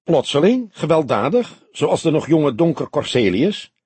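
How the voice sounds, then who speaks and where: A man's voice: on the high side, big pitch swings, moderate pace, a little mellow, husky, no echo, voice pushed up, exaggerated voice. A man voicing a young detective in an audiobook, a blunt, sceptical, grumpy sidekick who talks in a mocking, challenging way. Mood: questioning. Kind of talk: audiobook character dialogue.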